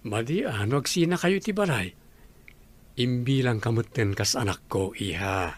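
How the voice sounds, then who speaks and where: A man's voice: mid-range voice, lively intonation, moderate pace, lightly acted. A man with an Ilocano accent playing a kind, respectful younger suitor and family friend in a radio drama. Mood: kindly, coaxing.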